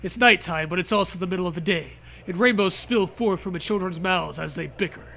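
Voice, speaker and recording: A man's voice: high voice, a little fast, creaky, sharp tone. A man reading a comic storybook aloud, with casual banter mixed in. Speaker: reader of a comic choose-your-own-adventure book.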